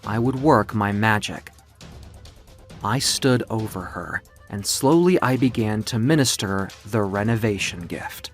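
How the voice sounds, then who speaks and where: A man's voice: fairly low pitch, a little slow, close to an everyday voice. A man voicing a proud, capricious ancient magical being in florid, theatrical storybook narration. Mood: hushed, proud. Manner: florid, theatrical, self-satisfied. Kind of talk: dramatic first-person storybook narration. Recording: music behind the voice. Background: music.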